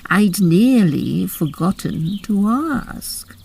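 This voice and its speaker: A woman voicing a languid, teasing, mysterious storybook cat with drawn-out, deliberate delivery. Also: medium pitch, expressive, vocal fry, mellow, husky, exaggerated voice. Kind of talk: storybook character reading.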